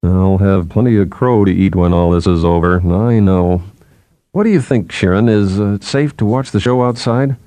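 A man's voice: lowish voice, moderate pace, dark tone, dry recording, natural voice. A man voicing a wry, nervy man resigned to looming catastrophe, in a hesitant, questioning way for an audiobook. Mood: wry, uneasy.

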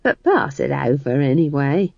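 A woman's voice: rumbling low, sing-song, normal speed, creaky, no room sound, highs cut off, heavily acted. A woman voicing a cheerful, bossy old witch in a storybook, blunt, folksy and chatty. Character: brash, bossy, cheerful old witch posing as humble wood-gatherer.

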